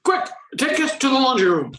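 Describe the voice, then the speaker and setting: Eager agent played by an older woman: animated, emphatic, mock-serious radio comedy, roomy sound. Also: high-pitched, fairly quick, background noise. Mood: urgent. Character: eager, earnest agent on a trivial household case. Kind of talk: amateur comic radio play acting.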